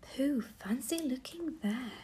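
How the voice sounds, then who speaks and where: A woman's voice: high voice, animated, slow pace, warm tone, voice pushed up. A woman whispering as teasing brownies. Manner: mocking, sing-song whisper. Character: teasing, mocking group of brownies.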